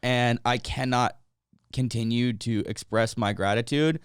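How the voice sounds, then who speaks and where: A man's voice: medium pitch, measured pace, clear, resonant tone, dry recording, studio quality. A young man hosting a podcast, talking earnestly in casual chat with some restarts. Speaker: podcast host.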